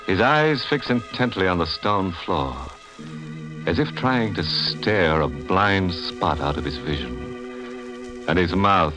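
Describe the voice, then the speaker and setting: Deep, sardonic horror narrator. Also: male voice, expressive, rough recording. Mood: ominous. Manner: deliberate, theatrical, sardonic. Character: sardonic male horror narrator, ghoulishly amused. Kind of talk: 1940s radio horror narration. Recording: old radio recording with music behind.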